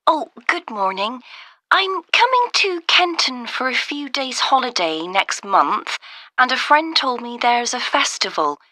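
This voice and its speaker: A friendly caller asking about a holiday outing in a scripted dialogue. Her voice is bright and very animated, polite and keen. The recording is dry and close. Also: fairly high pitch, measured pace, no echo, heavily acted. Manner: polite, enthusiastic. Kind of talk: scripted listening-test phone dialogue.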